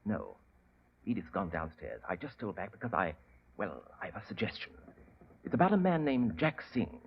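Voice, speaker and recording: An actor playing a nervous, hesitant young man who stammers and speaks low, in a 1940s radio drama. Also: big pitch swings, moderate pace, slight background noise. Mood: hesitant, hushed. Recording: dull lo-fi old radio broadcast.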